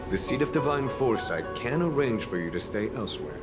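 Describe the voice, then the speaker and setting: A man's voice: medium pitch, lively intonation, brisk, lightly acted. A man voicing a composed, authoritative general in a video game, with a dry delivery. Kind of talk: game voice acting. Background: game music.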